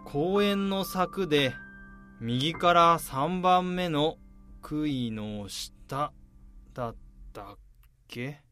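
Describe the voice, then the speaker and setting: A man's voice: a little high, expressive, extremely slow, long pauses, exaggerated voice. A man acting in a radio drama as a lone man on a search, muttering and exclaiming to himself as he muses aloud. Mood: musing, curious. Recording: loud music under the voice.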